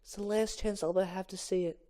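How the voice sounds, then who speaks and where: Cartoon woman florist, resigned and matter-of-fact, soft-edged mellow tone read by a man. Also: high voice, steady tone, fast, lightly acted.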